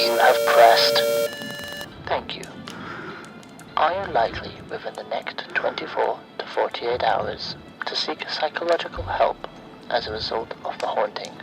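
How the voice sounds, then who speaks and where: A man playing a sinister automated phone survey voice in a horror ASMR roleplay, breathy and often near-whispered, speaking in measured, formulaic announcements. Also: medium pitch, rough recording. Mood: cold, clipped.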